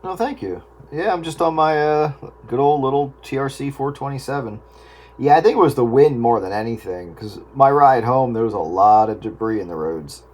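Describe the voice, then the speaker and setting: A man's voice: medium pitch, expressive, moderate pace, darkish tone. A man chatting casually as a radio operator over a two-way radio. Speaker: amateur radio operator on an on-air roundup. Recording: noisy two-way radio with static.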